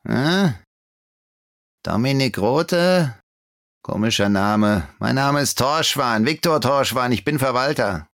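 A man's voice: mid-range voice, animated, slow pace, fairly bright tone, dry recording, pitched above the performer's own voice. Man putting on a haughty, suspicious administrator, dry studio sound. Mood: haughty suspicion.

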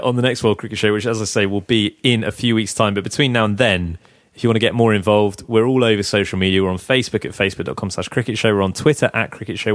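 Croaky man hosting a podcast. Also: on the low side, dry recording, clean studio sound. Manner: chatty, laughs often, teasing. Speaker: podcast host. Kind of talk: casual podcast banter. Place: flat.

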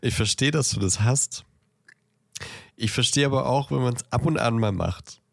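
A man's voice: mid-range voice, moderate pace, vocal fry, no room sound, clean studio sound. A man with a head cold, chatting casually as a podcast co-host and often searching for words. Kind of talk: casual banter. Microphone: close podcast microphone.

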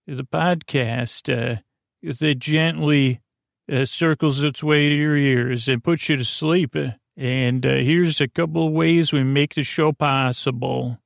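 A man hosting a bedtime podcast, talking in slow meandering tangents full of fillers and restarts, with a creaky voice. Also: on the high side, dry recording, clean studio sound. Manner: meandering, filler-heavy, self-interrupting, soft. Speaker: podcast host. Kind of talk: rambling bedtime-story podcast talk.

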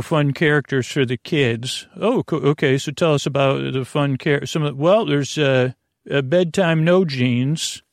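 A man hosting a bedtime podcast, rambling in a creaky, soothing voice with halting, meandering tangents. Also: medium pitch, dry recording, studio quality. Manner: soothing, gentle. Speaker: podcast host.

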